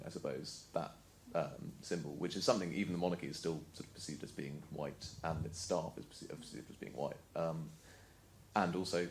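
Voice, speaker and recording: A young man speaks off the cuff in an academic panel discussion. His voice is extremely low, and he tentatively revises his phrasing. The recording carries slight background noise. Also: rough recording. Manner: tentative, qualifying and correcting himself. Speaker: student panelist.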